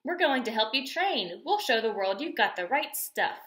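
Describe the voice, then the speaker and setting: A woman's voice: fairly high pitch, sing-song, normal speed, a bit bright, echoey room. A woman voicing a bossy, brash, enthusiastic young girl coach in a storybook, exclaiming and giving commands. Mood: bossy, excited.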